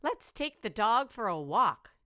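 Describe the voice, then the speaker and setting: Little skeleton lad for a storybook played by a woman: raised pitch, eager, playful mischief. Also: sing-song, a little slow, bright, forceful tone, no room sound, studio quality, heavily acted.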